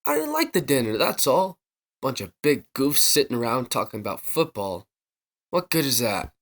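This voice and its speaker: Animated, offhand teenage boy. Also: mid-range voice, normal speed. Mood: defensive. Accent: American. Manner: offhand, defensive, slangy. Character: wisecracking, evasive American teenage son. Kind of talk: 1950s American radio sitcom acting.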